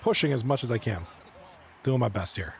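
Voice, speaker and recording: A man with a hoarse, fading voice doing casual play-by-play commentary on a live stream, chatting with viewers between plays. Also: medium pitch, lively intonation, brisk, a little hiss or hum. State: hoarse, losing his voice. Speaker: esports league commentator.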